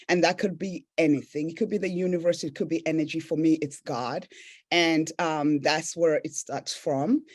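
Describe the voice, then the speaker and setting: A woman's voice: sits low, darkish tone. A woman from East Africa, a business owner and coach, telling her personal story earnestly and reflectively as a podcast guest. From Tanzania and Zambia, East Africa. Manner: earnest, reflective, with frequent "right?" tags.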